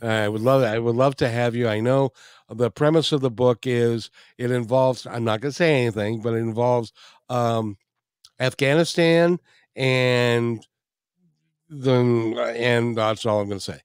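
A man's voice: medium pitch, steady tone, a little slow, clean tone, no echo. Chatty male radio host, dry close mic. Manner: chatty, jokey, repeats phrases. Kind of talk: interview and show outro. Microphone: studio microphone with headphones.

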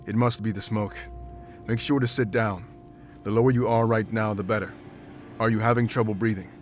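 A man's voice: fairly low pitch, steady tone, a little fast, a little hiss or hum, close to an everyday voice. Stern protective wolf warrior, voiced by a man. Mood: concerned. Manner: firm, commanding. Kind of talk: video game voice acting.

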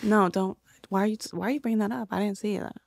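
A woman's voice: medium pitch, steady tone, quick pace, vocal fry, a little mellow, dry recording, clean studio sound. A young American woman chatting casually on a comedy podcast, blunt and dramatic in her storytelling, with plenty of swearing. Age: young adult. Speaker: podcast co-host. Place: small room indoors. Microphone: close podcast mic.

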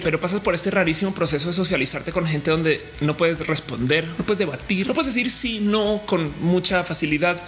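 A bright, forceful-sounding woman in her thirties talks straight to the camera, expressive and chatty with playful asides. Her voice comes through a camera mic in a home room, with a faint hiss behind her. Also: lowish voice. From Colombia. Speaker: vlogger. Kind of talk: candid personal vlog monologue. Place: indoor home studio room with shelves.

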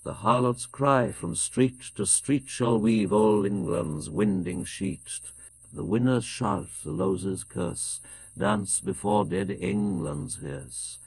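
Slow, gravelly older British man reciting. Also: fairly low pitch. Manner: measured, declamatory. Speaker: poetry reader. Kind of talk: solemn dramatic poetry recitation.

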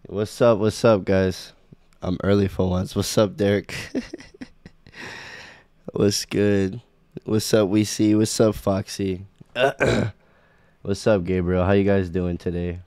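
A young man hosting a casual game livestream while sick, his voice a little scratchy, talking in a laid-back way full of ums as he greets chat members by name. He speaks into a boom mic at home. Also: fairly even intonation, takes it slowly, long pauses, warm tone, dry recording. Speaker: streamer. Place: small room at home. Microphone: boom mic on arm, headphones.

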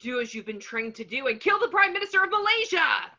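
Sinister flamboyant fashion mogul read by a woman, high and theatrical, comedy table read over lo-fi video call. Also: big pitch swings, fast talker, sharp tone, full character voice. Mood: fierce, frenzied. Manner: theatrical, sing-song, commanding.

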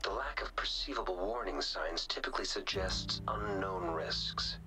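An actor plays a composed young researcher from a video game, laying out data in an even, courteous tone. His voice sits on the low side. Game music runs clearly behind the dialogue. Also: close to an everyday voice. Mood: calm. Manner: measured, polite, informative. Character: calm, analytical young male researcher.

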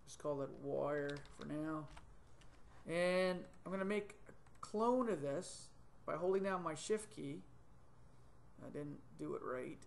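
A middle-aged man teaching a 3D modeling tutorial on screen, thinking aloud with many ums and quick self-corrections, recorded on a desktop webcam mic in a small room. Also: on the high side, vocal fry. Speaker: software tutor.

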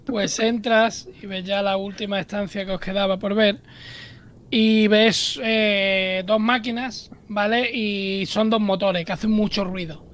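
A game master calmly describing scenes in a tabletop role-playing game. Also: mid-range voice, a little slow. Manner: calm, explanatory.

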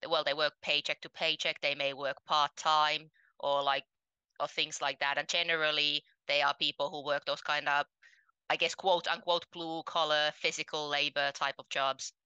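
A young woman from Finland with a low voice that sits in a narrow band. Her tone is bright and very clean, recorded dry and close on a headset. She tells her story earnestly and plainly, with lots of ums. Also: little pitch movement, normal speed, smooth, no echo. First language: Finnish. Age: 20s. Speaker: podcast guest. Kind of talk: casual interview talk. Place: home room, indoors.

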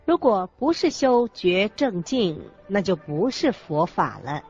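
A woman's voice: mid-range voice, very animated, a little slow, darkish tone, breathy edge, full character voice. A woman co-narrating a scripted religious radio drama, phrasing each teaching carefully. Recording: muffled audio with music bed. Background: background music.

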